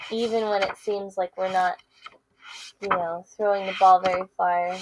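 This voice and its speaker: A woman's voice: mid-range voice, background noise. A woman teacher presenting a read-aloud for young children.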